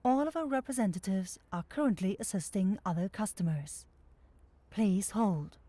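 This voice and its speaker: A woman playing a polite automated phone-line announcer in a fantasy roleplay, measured and formulaic. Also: mid-range voice, mellow, no room sound, lightly acted. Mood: polite, detached.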